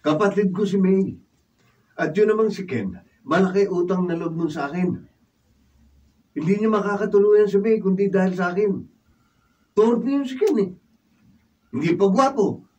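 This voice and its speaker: An actor playing an irresponsible, hard-drinking, defensive older brother in a Filipino radio drama, with a Filipino accent. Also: male voice, sits high, normal speed, pauses often, darkish tone, muffled recording, close to an everyday voice. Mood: defiant. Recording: distant mic, faint room noise.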